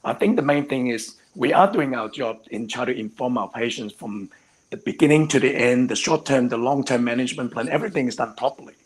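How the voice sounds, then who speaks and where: A man's voice: mid-range voice, a little fast, low-quality recording. A man, a hair transplant surgeon, explaining in an earnest way on a live panel discussion over a video call. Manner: earnest and explanatory, frequent "actually".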